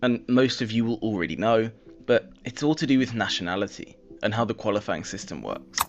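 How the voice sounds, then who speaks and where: A man giving a measured scripted narration about football rankings. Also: lowish voice, animated. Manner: measured, explanatory. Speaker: documentary narrator. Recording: dull clip audio under music.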